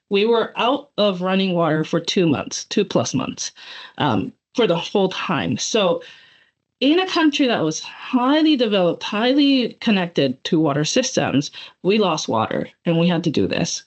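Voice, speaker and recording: A woman in her forties from Japan, giving a webinar lecture as a guest professor in a chatty way, with many fillers and self-corrections. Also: mid-range voice. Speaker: guest speaker, associate professor. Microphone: computer mic on video call. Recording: dull lo-fi call audio.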